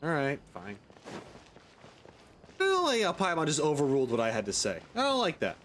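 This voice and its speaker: A man's voice: a little high, wildly swooping pitch, fairly quick, fairly bright tone, slight background noise. A man doing casual let's play commentary as a gaming streamer, with a sceptical, sarcastic and blunt manner.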